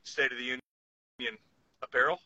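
A man with a very bright, forceful voice talks casually as a podcast co-host. He lays out his opinions in an instructive way, like a lifter explaining his method. The recording is slightly dull and lo-fi. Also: mid-range voice, level tone, a little slow, dry recording.

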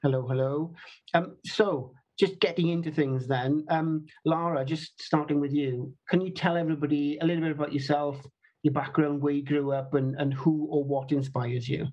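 A man's voice: fairly high pitch, fairly even intonation, normal speed, a little mellow, dull audio. Upbeat man hosting a podcast. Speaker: podcast host. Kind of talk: podcast interview.

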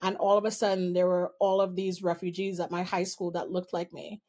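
A woman's voice: on the low side, flat delivery, fairly quick, darkish tone. Woman with a Trinidadian accent. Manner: candid, amused, stretches key words. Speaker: guest. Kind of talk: personal storytelling in an interview.

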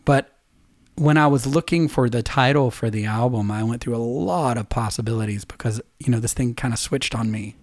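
A middle-aged man talking casually as a podcast co-host, chatty and quick to laugh as he wanders into stories. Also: medium pitch, no room sound, studio quality. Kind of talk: casual chat.

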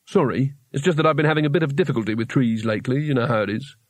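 An anxious, cynical wizard voiced by a male storybook reader talks in a medium-pitched voice. He is hesitant and overly polite, with a sardonic undertone and a dry, close sound. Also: racing, no echo, close to an everyday voice. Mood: careful, nervous.

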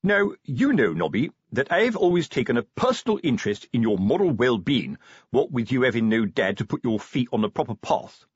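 A man's voice: mid-range voice, big pitch swings, brisk, slightly rough, no room sound, muffled recording, voice pushed up. A man voicing a pompous, fatherly older police sergeant in an audiobook, hesitant and prudish in his colloquial talk. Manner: hesitant, self-important, colloquial working-class phrasing. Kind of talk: audiobook character dialogue.

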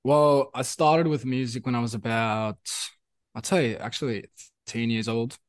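A man's voice: medium pitch, fairly even intonation, normal speed, no echo, clean studio sound. A young man from South Africa whose first language is Afrikaans, speaking English with a South African accent in a casual interview, rambling and repeating himself with many fillers. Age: young adult. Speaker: musician guest.

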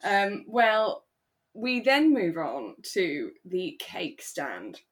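A woman's voice: fairly low pitch, very animated, very slow. A woman co-hosting a podcast, chatting casually and retelling things expressively, acting out what people said. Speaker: podcast co-host. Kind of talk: casual chat.

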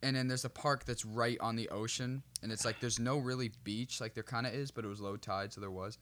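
A man's voice: medium pitch, steady tone, brisk, a bit bright. A young man co-hosting a podcast, explaining things in a casual, conversational way. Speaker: podcast co-host.

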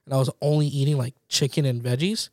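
A man's voice: mid-range voice, steady tone, quick pace, no echo, studio quality. A man in his late twenties chatting casually as a podcast co-host, informal, slangy and self-deprecating. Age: late 20s. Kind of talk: casual chat between friends.

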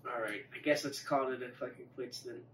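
Male podcast host, medium pitch, profane easygoing chat, echoey room sound. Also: fairly quick, fairly bright tone. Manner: profane, agreeable interjections. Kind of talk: casual chat. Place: echoey room, away from the mic. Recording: distant mics, echoey room, faint noise.

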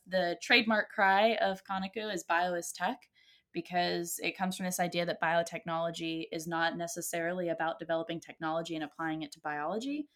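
An American woman, around her thirties, talking conversationally as a co-host, earnest and articulate as she explains in measured phrases. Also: lowish voice, fairly bright tone. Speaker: podcast co-host and health entrepreneur. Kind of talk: conversational self-introduction. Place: at home, remote call.

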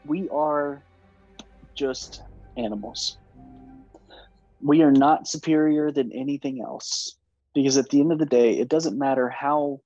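A man's voice: medium pitch, dark tone, no room sound. A man co-hosting a casual podcast, speaking emphatically with lots of fillers. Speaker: podcast co-host. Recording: dull lo-fi audio.

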